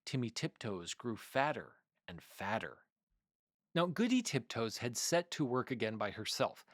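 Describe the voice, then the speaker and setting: Man, children's read-aloud narration, expressive, deliberate, medium pitch, dry sound. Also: no echo. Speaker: storyteller.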